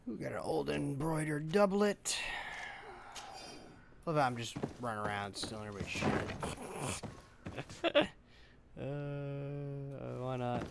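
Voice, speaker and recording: A man's voice: medium pitch, lively intonation, takes it slowly, gravelly, pauses often, very noisy. A man giving informal playthrough commentary on a video game, thinking aloud in a loose, sweary way. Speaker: gaming video host.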